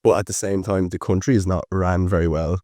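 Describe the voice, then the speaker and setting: A man in his twenties with an Irish accent, chatting as a podcast guest in a relaxed, rambling way with plenty of fillers. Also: low-pitched, a little fast, dry recording, studio quality. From Ireland. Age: 20s. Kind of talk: casual interview chat.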